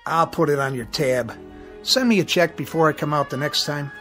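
A man's voice: on the high side, moderate pace, bright, forceful tone, soft background music. An actor playing a patient working-class TV repairman in a radio drama, talking in a blunt, folksy, colloquial way.

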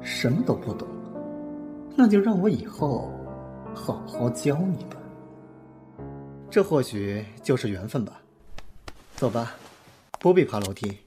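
A man's voice: medium pitch, dark tone. An actor in a Mandarin audio drama playing a suave, confident male pop star who is condescendingly kind to a newcomer.

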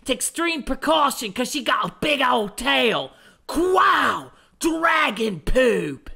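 Australian wildlife showman, excitable, high, forceful shouting, comedic impression. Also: slow pace, creaky, slightly rough, exaggerated voice. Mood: excited.

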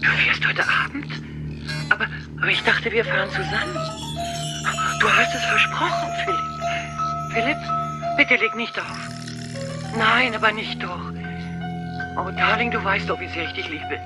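Actress as theatrical aging film diva; animated, music-laden soundtrack. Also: female voice, medium pitch, measured pace, pauses often, natural voice, poor audio. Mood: pleading. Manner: affected, dramatic, says darling. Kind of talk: dubbed TV crime drama.

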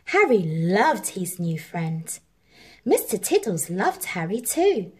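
Slow, very animated woman reading a storybook. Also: mid-range voice, breathy edge. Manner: animated, measured. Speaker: storybook reader. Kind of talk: expressive scripted children's story narration.

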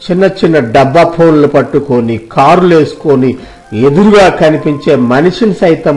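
An elderly village patriarch acted by a man speaks in a fairly high voice, earnest and oratorical. He scolds with love, like an idealist in a dramatized moral tale, with music playing underneath. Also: darkish tone. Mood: nostalgic, disdainful. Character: elderly village father, idealistic, scolding yet loving landowner. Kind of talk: dramatized moral story acting.

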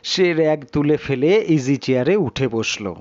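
A man's voice: on the high side, lively intonation, a little slow, darkish tone, no room sound. A man reading a story aloud as a dramatic audiobook narrator. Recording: slightly dull, muffled sound.

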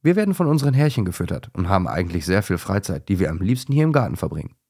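Good-natured male dog read by a man, low husky voice, children's audio tale. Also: sing-song, very fast, a little mellow, exaggerated voice. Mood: easygoing.

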